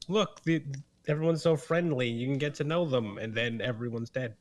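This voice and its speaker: A man's voice: mid-range voice, normal speed. A man in casual podcast conversation, with a wry, joking way of talking. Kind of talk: casual chat.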